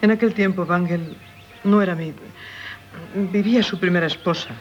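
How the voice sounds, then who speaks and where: An actress with a Castilian accent playing a restless, dreamy, frank doctor's wife who loves the sea, in a 1970s Spanish radio drama. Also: female voice, lowish voice, normal speed, a little hiss or hum, natural voice. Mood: wistful, confiding. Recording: muffled 1970s radio broadcast.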